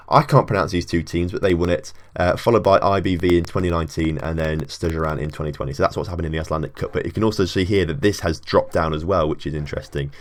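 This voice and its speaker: A man hosting a gaming channel, talking casually through a football management game and thinking aloud with lots of fillers. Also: low-pitched. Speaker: video game channel host. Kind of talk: casual gameplay commentary.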